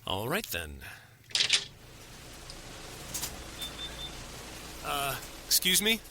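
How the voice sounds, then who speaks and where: A man's voice: on the high side, wildly swooping pitch, a little slow, gravelly, background noise, heavily acted. A man playing a casual, polite modern knight in an audio drama, with an apologetic, dryly conversational manner. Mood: polite, hesitant.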